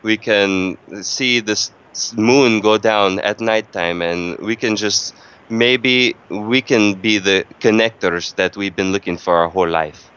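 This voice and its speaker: A man putting on an aggrieved, rambling foreign customer in a prank call, halting and repetitive with melodramatic delivery. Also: fairly low pitch, a bit bright, smooth, highs cut off. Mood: flirtatious.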